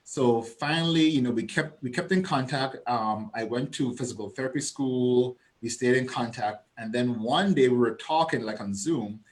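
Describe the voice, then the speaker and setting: A man in his thirties from Saint Thomas with a Virgin Islands Caribbean accent, a guest chatting over a video call, relaxed and storytelling. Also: mid-range voice, normal speed, hardly any pauses. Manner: storytelling, relaxed, laughs often. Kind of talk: casual video-call chat.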